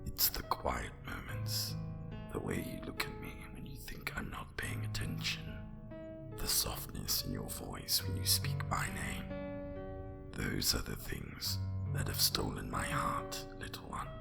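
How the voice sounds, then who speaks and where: A man voicing an obsessive, seductive dragon lover in an intimate, breathy whispered ASMR roleplay, close to the mic. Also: a bass voice, fairly even intonation, over loud music, full character voice. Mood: tender, devoted. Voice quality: breathy whisper with gravelly growl. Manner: slow, intense, intimate.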